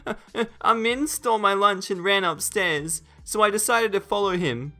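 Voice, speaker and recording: A high-pitched, sobbing schoolboy tattletale acted out by a man in a storytime narration. He pleads and whines with bright, forceful exaggeration, over music. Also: normal speed. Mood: sobbing, whiny. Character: whiny lying twelve-year-old boy, sobbing tattletale.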